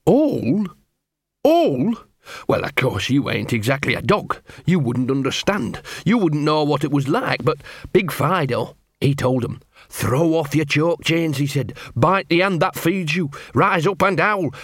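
A man's voice: on the high side, animated, unhurried, fairly bright tone, no room sound, studio quality, pitched above the performer's own voice. A man voicing a small, fearful talking dog, moaning and muttering miserably in an audiobook. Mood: fearful, fascinated. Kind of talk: audiobook character voice.

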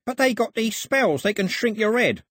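A male narrator plays a nervous, superstitious henchman in a storybook. His voice sits high and husky, creepy and rambling. The jittery speech jumps around in pitch. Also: big pitch swings, unhurried, no echo, studio quality. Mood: panicky.

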